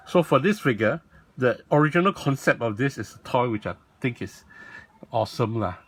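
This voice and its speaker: A man with a raspy voice, talking casually and rambling as he shows off a toy in a video. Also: medium pitch, a bit bright, no room sound. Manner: rambling, self-correcting, lots of fillers. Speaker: toy blogger and vlog host.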